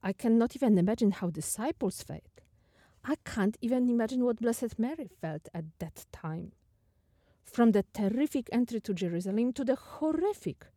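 Polish accent woman hosting a faith podcast, mellow voice, dry close sound, thinks out loud. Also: a little high, no echo. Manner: thoughtful, questioning, slightly halting. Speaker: podcast host. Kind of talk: reflective faith talk.

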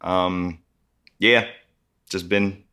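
Upbeat low-voiced man from New York. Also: steady tone, extremely slow, sharp tone, smooth. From Schenectady, New York. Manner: upbeat, enthusiastic, easygoing. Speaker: DJ and breakdancer guest. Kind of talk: casual interview chat.

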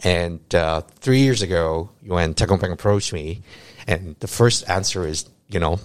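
A man in his fifties, raised in Canada after a Hong Kong birth, talking as a guest with many pauses and restarts. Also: deep voice, no echo. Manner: hesitant, many fillers and restarts. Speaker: insurance executive, guest.

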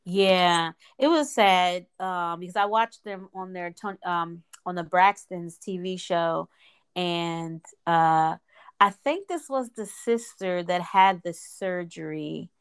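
A woman's voice: on the low side, slow, clear, resonant tone. A woman co-hosting a podcast, congested from a cold, rambling informally with many fillers. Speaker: podcast co-host. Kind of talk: casual chat.

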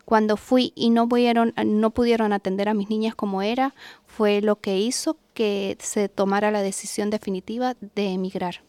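A woman from Venezuela with a Venezuelan accent, a guest talking in an expressive, flowing way at a studio microphone. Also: mid-range voice, normal speed, clean tone, no room sound.

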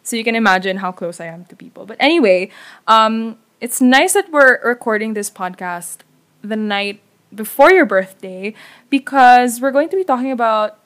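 A woman's voice: a little high, animated, moderate pace. Young Filipina host, casual chatty delivery, recorded away from her usual setup. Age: young adult. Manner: chatty, laughs often, trails off softly. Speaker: podcast host. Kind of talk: casual podcast chat.

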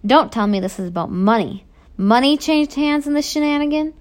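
A woman voicing a stern, angry middle-aged male prison warden in a storybook, lecturing children forcefully. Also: medium pitch, a little fast, natural voice. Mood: barking, angry.

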